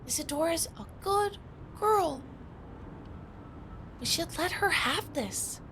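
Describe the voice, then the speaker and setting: A woman's voice: extremely high pitch, lively intonation, slow, a bit bright, slight background noise, full character voice. A woman playing a young troll boy in a family audio drama, earnest, clever and a little bossy. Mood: earnest, forgiving.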